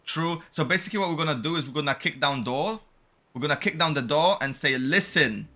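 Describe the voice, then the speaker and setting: A man's voice: on the high side, brisk, pushed and bright. A man giving casual, joking game commentary with slang and swearing, sometimes reading dialogue aloud. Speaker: game streamer.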